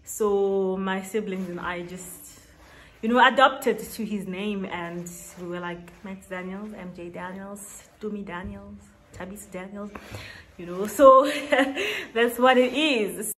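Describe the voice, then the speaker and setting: A woman in her late twenties talks unhurriedly straight to camera from a small room at home. Her chat is casual and personal, with frequent laughs and drawn-out words for emphasis. She sits at middle pitch and keeps an easy, leisurely pace. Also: a bit bright. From South Africa. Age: 20s. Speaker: YouTuber. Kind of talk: casual get-to-know-me vlog. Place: small indoor room with shelves.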